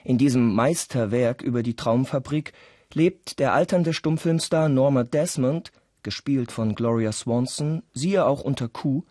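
Guy narrating a radio play, slow deliberate dramatic delivery, mid pitch, very dry close recording. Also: mellow, no room sound.

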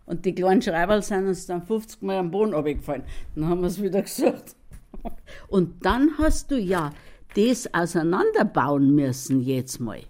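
A woman's voice: fairly low pitch, very animated, moderate pace, darkish tone. A woman telling a chatty anecdote in an interview. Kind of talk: recalled anecdote.

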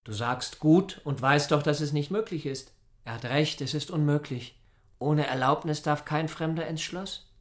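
A man acts a subordinate helper in a radio drama. His voice sits a little high, and he speaks plainly, with a doubting, discouraging manner. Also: moderate pace, natural voice. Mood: doubtful. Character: Jeremias, subordinate male helper, matter-of-fact and doubting. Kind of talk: literary radio drama acting.

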